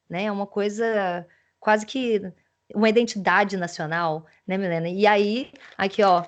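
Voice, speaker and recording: A woman with a Mineiro accent hosting an interview over a video call, chatting casually with frequent tag questions and laughs. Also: medium pitch. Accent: Mineiro Brazilian Portuguese. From Minas Gerais, Brazil. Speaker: interview host.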